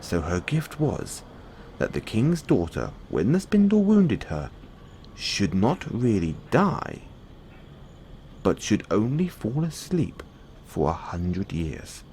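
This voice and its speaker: A man with a low voice narrates a bedtime fairy tale. His pitch moves expressively through each phrase, and there is faint noise behind him. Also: wildly swooping pitch, takes it slowly, warm tone. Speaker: storyteller.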